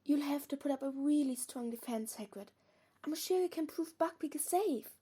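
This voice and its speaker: High, soft, earnest schoolgirl, played by a woman. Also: animated, normal speed. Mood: earnest, worried. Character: earnest, studious, caring teenage schoolgirl. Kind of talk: audio drama acting.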